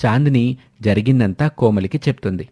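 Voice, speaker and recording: A man narrating a family moral story in a steady, measured way. His voice is mid-pitched for a man. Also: slightly rough.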